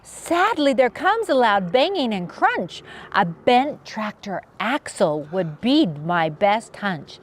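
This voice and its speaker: Female children's read-aloud host: unhurried, lively pitch swings, sing-song and playful. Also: slight background noise. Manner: expressive sing-song rhyming delivery with playful sound effects. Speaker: children's story reader, channel host.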